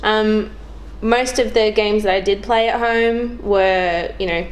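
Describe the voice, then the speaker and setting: A woman's voice: mid-range voice, fairly even intonation, slow. A young woman chats casually as a podcast guest, thinking aloud in an informal, hesitant way. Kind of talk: casual chat.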